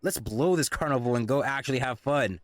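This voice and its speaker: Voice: medium pitch, a little fast, lightly acted. An actor playing a cocky, taunting teenage boy ringleader in an audio drama, with brash, goading, slangy delivery. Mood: cocky, eager.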